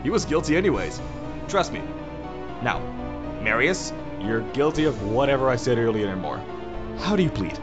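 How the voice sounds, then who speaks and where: Young male tyrant president for audio drama played by a man: mid-pitched, highly animated, mocking, theatrical, gleefully threatening. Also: moderate pace, pauses often, highs cut off, over music, natural voice. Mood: smug menace. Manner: theatrical, mock-friendly, sarcastic.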